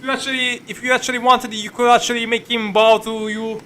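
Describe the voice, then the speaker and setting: A man giving casual, halting, sweary reaction commentary as he plays a video game, sounding exasperated. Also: very high-pitched, flat delivery, sharp tone, a little hiss or hum. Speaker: game streamer. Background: game music.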